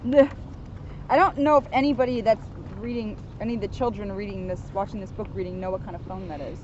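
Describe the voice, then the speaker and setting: A woman's voice: medium pitch, steady tone, fast. A woman chatting casually and instructively to children as a co-host, speaking outdoors. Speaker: police officer co-hosting a children's reading. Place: outdoors, sitting outside. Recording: muffled outdoor recording with clear traffic noise.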